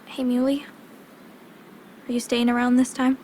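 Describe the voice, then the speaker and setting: A woman's voice: a little high, little pitch movement, measured pace, a little mellow, slight background noise, natural voice. A woman playing a shy, earnest young girl in grief, in a video game. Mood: wistful.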